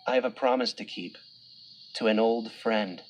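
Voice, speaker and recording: A man's voice: lowish voice, normal speed, close to an everyday voice, low-quality recording. A man playing an earnest, resolute young Jedi hero in a dramatised storybook. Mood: solemn. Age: young adult. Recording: music under the voice.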